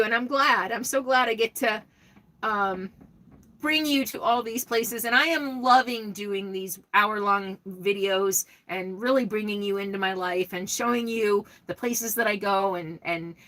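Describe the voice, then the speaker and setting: A middle-aged woman chatting casually on a live stream, answering viewers' questions in a rambling way with lots of restarts. She has a loud voice that carries well. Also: fairly high pitch, rough recording.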